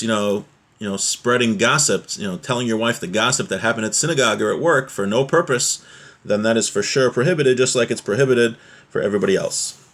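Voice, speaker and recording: A man's voice: on the low side, fairly bright tone. A man giving an informal religious lesson as a teacher, reading aloud and explaining each point step by step.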